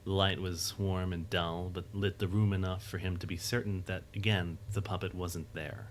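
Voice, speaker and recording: Low-voiced male story narrator. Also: level tone, normal speed. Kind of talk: scripted audio drama narration. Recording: faint background noise.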